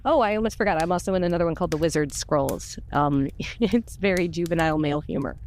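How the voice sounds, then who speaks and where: A woman's voice: lowish voice, fast, a little mellow, a little hiss or hum. A woman chatting casually as a podcast voice actor, informal and self-effacing, rambling a little as she goes. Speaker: voice actor on an audio drama podcast. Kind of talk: casual chat.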